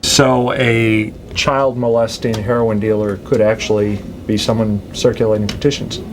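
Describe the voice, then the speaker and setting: A man's voice: on the low side, flat delivery, normal speed, a little hiss or hum. A man working as a TV reporter, putting questions in an interview.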